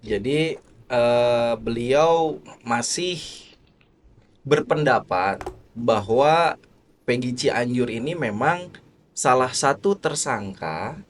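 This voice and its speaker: A man hosting a podcast, introducing and reacting to clips in a slow, hesitant way with frequent fillers. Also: mid-range voice, animated, a little hiss or hum. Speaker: podcast host. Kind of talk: casual reaction podcast chat.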